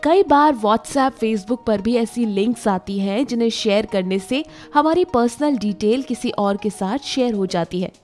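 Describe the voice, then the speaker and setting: A woman's voice: a little high, a little slow, few pauses, darkish tone. A woman giving scripted narration for a children's story, addressing young listeners with a moral. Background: faint music.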